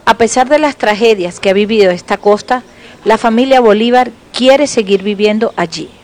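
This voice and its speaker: A woman's voice: fairly high pitch, normal speed, darkish tone. A woman reading scripted narration as a television news reporter. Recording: faint background noise.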